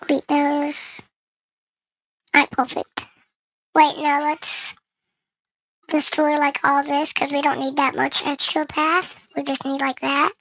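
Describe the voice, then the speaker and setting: A very bright, high voice run through a voice changer gives casual game commentary. It is creaky and sounds dry and close, chatting to viewers and thinking aloud while playing. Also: flat delivery, slow, pauses often, no room sound, poor audio. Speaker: gaming video creator.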